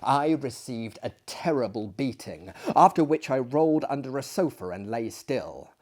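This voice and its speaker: A man's voice: medium pitch, animated, moderate pace, darkish tone. A man telling a classic story as a dramatic reader, with hammy, pompous delivery and heavy emphasis. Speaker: storyteller, host of a story reading series.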